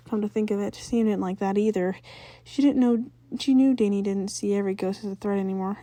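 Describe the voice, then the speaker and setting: A woman reads fiction aloud in a relaxed, mellow voice. Her scripted narration has the small stumbles and rereads of an amateur. The sound is very dry, with hardly any room to it. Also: mid-range voice, no echo. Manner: stumbles over words and rereads them. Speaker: amateur fan-fiction reader.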